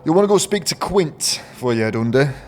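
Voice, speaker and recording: A man's voice: fairly high pitch, animated, fast talker, lightly acted. A man playing a hearty, blunt, commanding underworld guard in a fantasy audio drama. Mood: hearty, friendly. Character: hearty, bellowing male guard among underworld scavengers. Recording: echoing reverb, background ambience noise.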